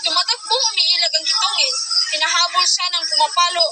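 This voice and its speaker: A woman narrates a puppet show from a script in a dramatic storytelling style. Her voice is extremely high, and music plays strongly behind her throughout. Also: brisk, distant mic.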